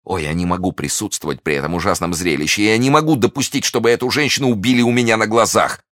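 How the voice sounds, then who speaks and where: A man's voice: on the low side, quick pace, fairly bright tone, no room sound, studio quality, voice pushed up, close to an everyday voice. A man voicing a young musketeer who speaks in an agitated, pained tone. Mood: anguished. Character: young musketeer, emotional and anguished.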